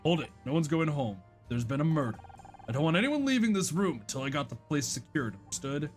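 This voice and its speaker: A performer voicing a gruff, impatient older male police detective in a video game, curt and commanding. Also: medium pitch, very animated, a little fast, faint music behind, lightly acted. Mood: stern, impatient.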